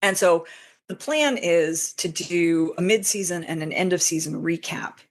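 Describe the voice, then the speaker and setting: Female podcast guest, slightly low voice, chatty and informal, given to long explanations. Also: measured pace. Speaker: podcast guest, fan fiction author. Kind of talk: casual chat.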